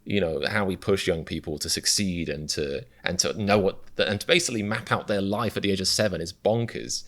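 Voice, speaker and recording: A man's voice: sits low, brisk, fairly bright tone. A young British man hosting a reaction video, musing in a reflective, self-deprecating way with a lot of restarts. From Britain. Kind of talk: casual rambling commentary.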